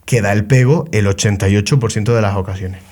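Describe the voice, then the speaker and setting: A man's voice: lowish voice, quick pace, a little mellow. Man explaining ideas. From Canary Islands. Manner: explains ideas at length, joking, self-deprecating asides. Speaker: podcast co-host. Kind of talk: casual conversation.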